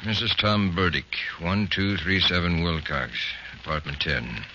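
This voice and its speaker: An actor playing a brisk, gruff police chief in a 1940s radio crime drama, matter-of-fact in manner. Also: male voice, low-pitched, bright, forceful tone. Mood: brisk, businesslike. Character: brisk, gruff male police chief briefing his men. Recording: dull old radio, faint noise.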